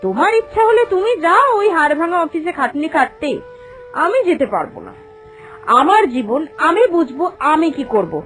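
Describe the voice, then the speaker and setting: A woman's voice: high voice, big pitch swings, normal speed, fairly bright tone, soft background music. A woman voicing a greedy, headstrong young wife in a cartoon fairy tale, in a theatrical, complaining, emphatic manner. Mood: defiant.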